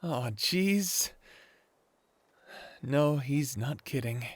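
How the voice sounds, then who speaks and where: A man's voice: medium pitch, animated, extremely slow, background noise. A man acting a friendly, good-natured werewolf in an audio drama. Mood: embarrassed.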